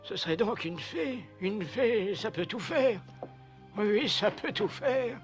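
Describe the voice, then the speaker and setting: A man's voice: high voice, expressive, slow, soft background music, exaggerated voice. A man playing a proud, suspicious old man in a French cartoon dub, indignant and repeating himself. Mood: musing. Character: grumpy, proud, suspicious old woodcarver father.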